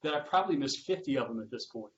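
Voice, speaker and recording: A man's voice: mid-range voice, expressive, quick pace. Man hosting a roundtable, dull lo-fi sound. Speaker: roundtable host and sports public address announcer. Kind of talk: moderated roundtable conversation.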